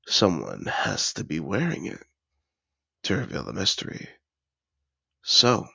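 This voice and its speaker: A suave, possessive dragon in human disguise, played by a man, speaks in a deep, heavily gravelled voice. He is courtly and seductive, lingering slowly over his words like a collector over treasure in a fantasy audio roleplay. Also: bright, forceful tone, dry recording, heavily acted. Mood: coaxing, insistent. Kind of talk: romantic fantasy audio roleplay, addressed to the listener.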